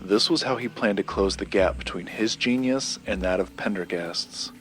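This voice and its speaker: A man acting as a secretive collector tells a dark local legend in an audio drama. The voice is low and male, deliberate and measured, with pauses for effect. Music plays underneath. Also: natural voice. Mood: measured, intriguing.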